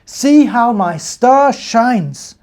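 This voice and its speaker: Small young bear, acted by a male reader: higher voice, timid yet proud, storybook delivery. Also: lively intonation, very slow, a little mellow, exaggerated voice. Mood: proud, eager. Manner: stammering when startled. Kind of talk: children's storybook character reading.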